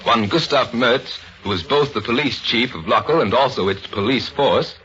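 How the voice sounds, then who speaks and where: A man narrating an old-time radio drama in a formal, deliberate, portentous style. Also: medium pitch, fairly bright tone, a little hiss or hum. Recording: dull old radio recording, music behind.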